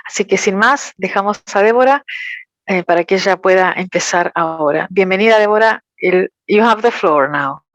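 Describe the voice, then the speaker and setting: A woman interpreting a talk, careful and measured. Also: mid-range voice, no room sound. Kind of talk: consecutive interpretation.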